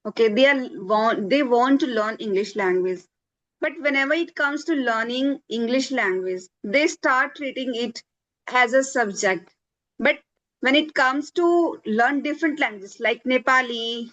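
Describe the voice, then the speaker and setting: A woman's voice: sits high, a bit bright. A woman hosting an English-learning interview, asking long, encouraging questions. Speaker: host, English teacher. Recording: dull lo-fi sound.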